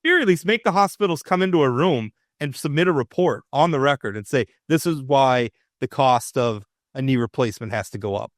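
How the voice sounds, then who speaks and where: A man's voice: medium pitch, lively intonation, a little fast, hardly any pauses, no room sound. Opinionated man, dry studio sound. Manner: opinionated, emphatic, many restarts. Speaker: co-host. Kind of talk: political talk show discussion.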